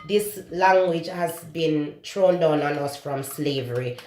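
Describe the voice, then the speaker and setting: A young woman with a Jamaican accent, whose first language is Patois, talks candidly to the camera in her home kitchen. She often pauses to search for words. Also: deep voice, noisy background. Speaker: beauty vlogger. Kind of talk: casual talk-to-camera beauty vlog. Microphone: camera close to the face. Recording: echoey kitchen with background music.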